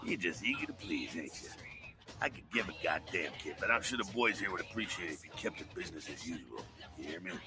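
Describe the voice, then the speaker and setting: A man's voice: deep voice, expressive, a little fast. An actor playing a streetwise, sardonic male vampire rebel leader in a video game, talking in a drawling, conspiratorial way. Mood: wry. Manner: drawling, conspiratorial, calls the player kiddo. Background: game music.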